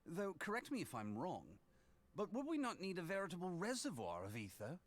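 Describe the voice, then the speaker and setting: A polite bookish young scholar in a fantasy game, really high voice, super animated. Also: male voice, a little fast, full character voice. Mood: curious, doubtful. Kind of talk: formal video game voice acting.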